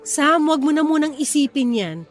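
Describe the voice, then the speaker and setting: A steadfast, soothing wife and mother played by an actress in a radio drama. She speaks in a high, earnest voice, reassuring and firm, over faint music. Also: normal speed, lightly acted. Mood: firm, pleading.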